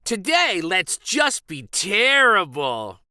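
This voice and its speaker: Man playing storybook schoolchild, excitable, unruly, eager, high-pitched, bright, exclaiming. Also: slow, smooth, no echo, studio quality, exaggerated voice. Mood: gleeful.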